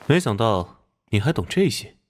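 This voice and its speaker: A man voicing a stern, gruff police detective captain, curt and commanding, in an animated crime drama. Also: mid-range voice, normal speed, close to an everyday voice. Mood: gruff, skeptical.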